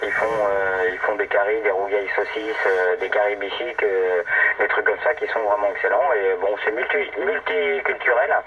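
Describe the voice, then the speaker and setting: A man's voice: lowish voice, normal speed, creaky. A middle-aged Frenchman chatting casually and hesitantly over a CB radio link, rambling in an easygoing way. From metropolitan France. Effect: CB single-sideband radio. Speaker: amateur CB radio operator. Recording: narrow tinny radio link, noisy, distant.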